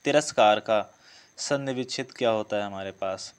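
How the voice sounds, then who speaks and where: A man's voice: lowish voice, moderate pace, smooth. A man teaching a test-prep lesson, working through questions didactically and repeating key phrases. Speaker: exam coaching teacher.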